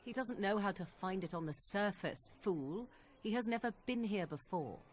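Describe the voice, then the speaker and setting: A woman's voice: mid-range voice, expressive, moderate pace, slight background noise, natural voice. An actress playing a scornful young witch in a fantasy game, with biting condescension and archaic, formal phrasing. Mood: taunting. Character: scornful young witch, haughty, cold, mocking. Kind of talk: fantasy video game dialogue acting.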